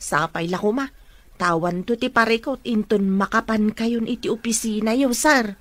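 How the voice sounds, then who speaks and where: Actress as polite ilocano auntie employee in a radio drama, highish voice, dry close sound. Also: female voice, normal speed, a bit bright, no room sound, close to an everyday voice. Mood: deferential, concerned. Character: respectful female employee and aunt of a child.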